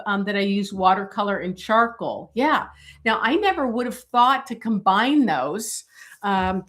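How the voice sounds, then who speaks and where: A middle-aged woman illustrator chatting casually on a live stream, with many fillers and a voice going hoarse. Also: mid-range voice, fairly bright tone. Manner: many fillers, talks while showing pages. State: losing her voice, coughing.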